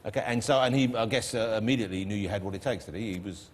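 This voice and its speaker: A middle-aged man with a London accent, hosting a TV chat show and teasing his guest in quick, playful banter. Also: fairly low pitch, slight background noise. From East London. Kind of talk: joking chat show interview. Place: TV studio with audience.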